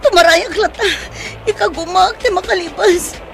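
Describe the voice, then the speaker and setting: A woman's voice: very high voice, moderate pace, gravelly, fairly bright tone, slight background noise, heavily acted. A woman playing an anxious, frightened younger sister on the run in a radio drama, with a Filipino accent. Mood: panicked, tearful. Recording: radio drama with faint music underneath.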